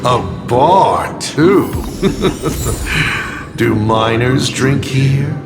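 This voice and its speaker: Smug game villain, theatrical sardonic drawl, gravelly edge, loud music behind. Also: male voice, mid-range voice, lively intonation, extremely slow. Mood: mocking, playful. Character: smug, sardonic male villain, mockingly urbane. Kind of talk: dramatic video game voice acting. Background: loud background music.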